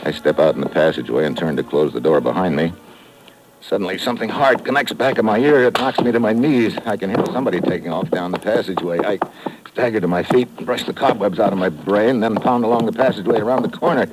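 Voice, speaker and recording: An actor playing a tough, wisecracking American secret agent, narrating dryly in vintage radio drama style. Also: male voice, fairly low pitch, quick pace, noisy background, close to an everyday voice. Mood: tense, hardboiled. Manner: dry, sardonic, clipped. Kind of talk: 1950s radio drama acting, first-person hardboiled narration. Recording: vintage radio recording with loud music.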